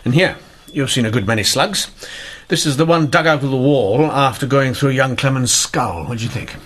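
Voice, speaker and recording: A man playing a shrewd police inspector in a period radio mystery, explaining deliberately and theatrically in old-fashioned phrases. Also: medium pitch, fairly bright tone, lightly acted. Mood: theatrical deduction. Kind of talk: radio drama acting.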